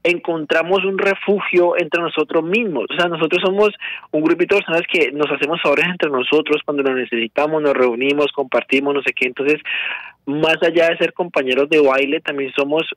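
A man's voice: fairly high pitch, steady tone, fairly quick, a bit bright, no room sound, rough recording. A man, a salsa teacher, answering as a guest in a radio studio interview in an earnest storytelling way, often repeating words.

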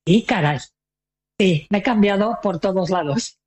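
Older mexican lady chatting on an interview, lively and proud, laughs a lot. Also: mid-range voice, a little slow. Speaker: guest. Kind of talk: casual conversation.

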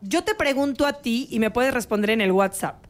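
A woman hosting a talk show, lively and asking her guest questions. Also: a little high, normal speed. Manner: lively, asks questions, acts out viewers. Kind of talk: casual talk-show chat.

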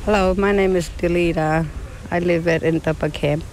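A woman's voice: on the low side, steady tone, normal speed, a little mellow, slight background noise, poor audio. A middle-aged woman, a town camp resident chatting plainly and proudly in an interview. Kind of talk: interview testimony.

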